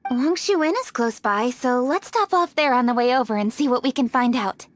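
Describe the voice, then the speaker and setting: A woman's voice: fairly high pitch, lightly acted. A woman voicing a composed, decisive young official who speaks formally in a game cutscene. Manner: formal, measured. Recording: game cutscene with faint music.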